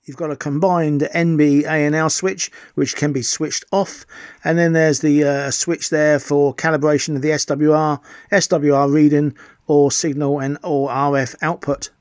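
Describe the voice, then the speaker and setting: A man's voice: a little high, little pitch movement, moderate pace, smooth, no echo, clean studio sound. A middle-aged man presenting an enthusiast equipment review in an unscripted, somewhat hesitant explaining manner. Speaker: video presenter.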